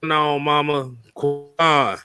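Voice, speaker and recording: Bright-voiced, slangy man hosting casually. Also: on the high side, flat delivery, very slow, faint music behind. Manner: informal, slangy, jokey with swearing. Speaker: co-host of a football fan show. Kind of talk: casual live-stream banter.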